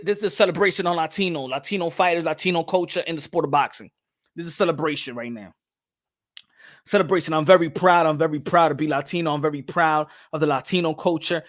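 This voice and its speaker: Brief: young male boxing streamer, fairly high voice, animated, keeps repeating himself, reads chat aloud. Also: a little fast. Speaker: boxing channel host. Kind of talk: casual livestream chat.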